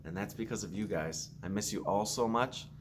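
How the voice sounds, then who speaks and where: A man with a fairly low voice reads a children's picture book aloud in an expressive storyteller's way. He speaks simply, as a principal would to pupils. There is some noise in the home-video recording. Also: normal speed. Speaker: school principal reading to pupils.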